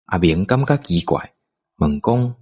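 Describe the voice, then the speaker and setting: A low-voiced man with a Taiwanese accent narrates an audio drama. His voice is husky and he reads a scripted story. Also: big pitch swings, measured pace, a little mellow.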